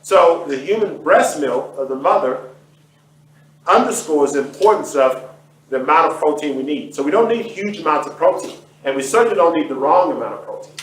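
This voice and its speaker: Higher-voiced man in echoey room. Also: expressive, brisk, fairly bright tone. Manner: emphatic. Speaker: nutrition lecturer. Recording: echoey room, distant mic.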